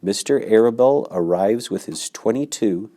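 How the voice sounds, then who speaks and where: A man's voice: on the low side, expressive, normal speed, warm tone. A man voicing a gloomy old female sheep who talks in a blunt, snappish, matter-of-fact way.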